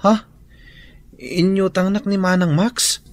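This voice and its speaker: A man's voice: high voice, fairly even intonation, takes it slowly. A young man with an Ilocano accent plays a startled, protesting son in a radio drama. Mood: aggrieved.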